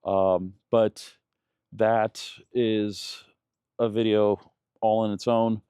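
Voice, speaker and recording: A man's voice: on the low side, little pitch movement, extremely slow, mellow, clean tone, no echo, clean studio sound. A man in his thirties, raised in Germany, talking casually in a vlog with many fillers and restarts. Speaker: channel host.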